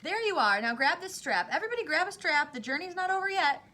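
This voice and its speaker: A woman puts on an excitable, eccentric candy maker who barks cheery orders in a raised, bright, loud voice. He is a showman, brisk and theatrical, in a storybook read aloud. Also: a little high. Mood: giddy, commanding.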